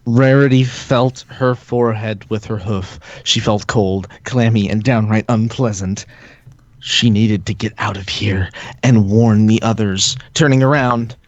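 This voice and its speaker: A man's voice: on the low side, steady tone, unhurried, no echo. A man narrating a fan-fiction story aloud in a comedic group reading, stumbling and laughing over the words. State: sick. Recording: dry, dull lo-fi home mic.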